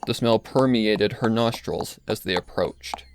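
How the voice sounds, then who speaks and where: A man's voice: on the low side, unhurried. Man narrating a story, faint background noise. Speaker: narrator, fan fiction reader.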